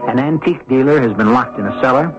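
A man hosting and narrating a radio mystery series in a deliberate, theatrical, dramatic scripted style. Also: medium pitch. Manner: theatrical, deliberate, rhetorical questions. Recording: dull lo-fi 1970s radio broadcast. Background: prominent music.

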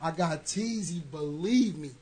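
Hoarse hyped man plugging his radio show. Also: fairly high pitch, measured pace, over a noisy crowd. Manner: loose, profane, hyped, rhythmic. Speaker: radio host. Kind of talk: casual hype plug talk.

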